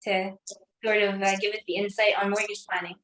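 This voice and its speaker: A woman co-hosting a money podcast on a video call, with a flat, even intonation and frequent "um" fillers. Her voice sits at an ordinary pitch. The call audio has a roomy echo. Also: brisk, slight background noise. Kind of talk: casual chat on a call.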